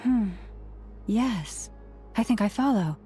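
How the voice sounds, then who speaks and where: A woman playing a quiet, pensive young woman in a video game, speaking in a subdued, hesitant way. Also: a little high, expressive, slow pace, husky, soft background music, full character voice.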